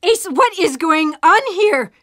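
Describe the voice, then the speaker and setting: Actress doing exasperated adventurer lady, very high animated voice, dry recording, audio drama. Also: takes it slowly, dry recording, heavily acted. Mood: incredulous. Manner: exasperated, questioning. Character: alarmed, incredulous female companion on a space adventure.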